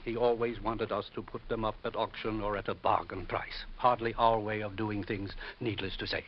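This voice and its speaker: A man acts a refined dealer in an old radio play. He speaks formally and evenly in a slightly low voice, with a touch of disdain. The recording is dull with faint hiss. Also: flat delivery, lightly acted. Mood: frank. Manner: measured, formal, cooperative. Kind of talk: 1950s radio drama acting. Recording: muffled, hissy vintage radio recording.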